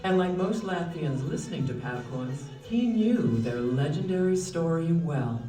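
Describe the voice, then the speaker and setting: A mellow narrator reads a promotional case study in a smooth, even way. The voice plays back from a video into an echoey hall, heard from afar. Soft music runs underneath. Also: mid-range voice, measured pace, recorded from a distance. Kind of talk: scripted narration.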